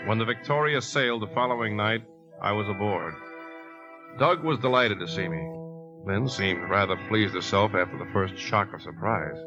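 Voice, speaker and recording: Guy acting troubled american leading man, lowish voice, clipped and earnest, old muffled radio with music. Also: moderate pace, natural voice. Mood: somber narration. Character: earnest, troubled brother-in-law narrating a suspense story. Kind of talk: 1950s radio drama acting with narration. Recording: dull 1950s radio recording.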